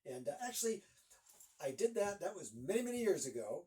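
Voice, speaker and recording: A man's voice: high voice, sing-song, fairly quick, dark tone, recorded from a distance. A man in his sixties from Northeast Ohio, a guest author chatting casually in an interview and rambling with lots of fillers.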